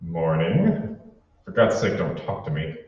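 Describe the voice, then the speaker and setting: An actor playing a nervous, secretive, evasive commuter in an old-time radio drama. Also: male voice, low-pitched, sing-song, a little slow, a little mellow. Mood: furtive, agitated. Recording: reverberant hall, muffled, distant, noisy.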